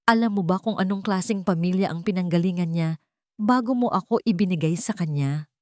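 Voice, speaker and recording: A woman's voice: on the low side, brisk, darkish tone, dry recording, clean studio sound, close to an everyday voice. A woman voicing a wounded, furious young daughter in a dramatic audio story, bitter and accusing. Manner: bitter, accusing, trembling with anger and grief.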